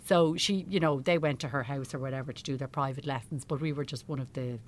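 A woman's voice: a bass voice, fairly even intonation, quick pace, no echo. A middle-aged Irish woman chatting casually as an interview guest, telling anecdotes in a self-deprecating way. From Ireland. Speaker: interview guest, amateur actor.

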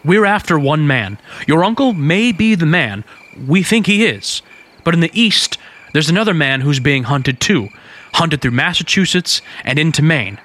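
An American actor playing an earnest young undercover detective, courteous but insistent, in a radio drama. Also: male voice, on the high side, animated, moderate pace, pushed and bright. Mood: urgent, grave. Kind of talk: old-time radio drama acting.

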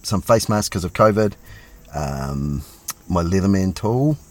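Deep-voiced middle-aged New man. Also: expressive, a little slow. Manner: chatty and self-deprecating, with lots of fillers. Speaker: police officer guest. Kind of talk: casual interview chat.

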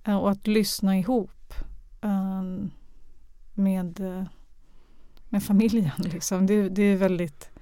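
A woman's voice: mid-range voice, flat delivery, mellow, smooth, dry recording, clean studio sound. A woman being interviewed as a radio drama professional, speaking conversationally and hesitantly as she searches for her words. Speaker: radio drama director or producer, interview guest. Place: close, dry podcast recording.

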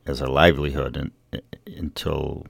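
A man's voice: extremely low pitch, steady tone, unhurried, creaky, no room sound. A middle-aged American man, a novelist being interviewed, speaking conversationally in a reflective, hesitant way. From Revere, Massachusetts, USA.

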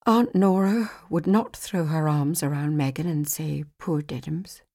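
A woman with a fairly low voice narrates an audiobook. She reads scripted fiction as a storyteller would. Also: unhurried, warm tone.

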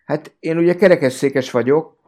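A man in a casual podcast discussion, speaking in a hesitant, halting way and often repeating words. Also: on the high side, darkish tone. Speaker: football fan podcast guest.

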